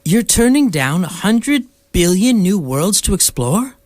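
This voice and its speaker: A woman's voice: medium pitch, very animated, a little slow, darkish tone, no echo. A woman playing a breezy, knowing alien hitchhiker, matter-of-fact, teasing and reassuring, in comic sci-fi radio play dialogue. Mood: eager, knowing.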